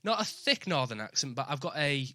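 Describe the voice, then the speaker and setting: A man's voice: mid-range voice, very animated, brisk, bright, forceful tone, dry recording, studio quality. A man with a northern English accent, hosting a casual podcast interview and asking friendly, chatty questions. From north of England. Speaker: podcast host.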